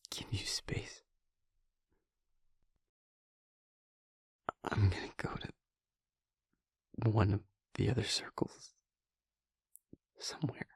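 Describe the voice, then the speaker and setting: A man voicing a tender, remorseful demon lover in an intimate audio roleplay, speaking haltingly and gently in a breathy, creaky whisper. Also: fairly low pitch, fairly even intonation, dry recording, full character voice. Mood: resigned, sad. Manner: halting, gentle, long pauses.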